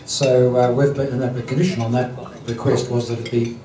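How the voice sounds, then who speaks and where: A man hosting a lunch event, giving a halting formal introduction full of ums and throat clearing. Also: mid-range voice, level tone, crowd noise. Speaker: event host introducing a speaker. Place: dining hall at a lunch event. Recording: roomy hall, distant mic, clattering dishes.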